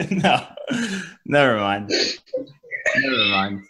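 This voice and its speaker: A young man with a deep baritone voice, teasing and deadpan in casual podcast banter, laughing often. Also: noisy background. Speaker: podcast co-host.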